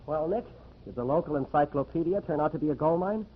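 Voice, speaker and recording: A man's voice: on the high side, fast, slightly rough. An actor playing a plain-spoken, earnest man in an old-time radio mystery. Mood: wry. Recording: muffled, faintly noisy old radio recording.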